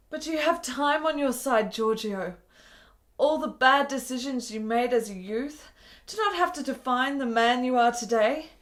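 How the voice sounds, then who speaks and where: A woman's voice: on the high side, natural voice. An actress playing a frail, ailing woman in her twenties in a period radio drama, earnest and frank with formal, precise phrasing.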